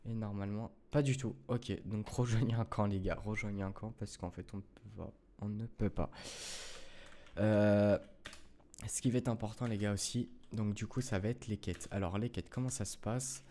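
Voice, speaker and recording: A man's voice: lowish voice, steady tone, normal speed, dark tone, slight background noise. A man talking through a gameplay tutorial in casual French, rambling with many fillers and self-corrections as he addresses his viewers. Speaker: gaming youtuber.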